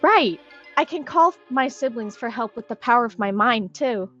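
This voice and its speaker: A woman voicing a high, chirpy little kid. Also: lively intonation, normal speed, a bit bright, muffled recording, soft background music. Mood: eager. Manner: chirpy, earnest, childlike. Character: small excitable ten-year-old child, cheerful little sister. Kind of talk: fan dub of a comic.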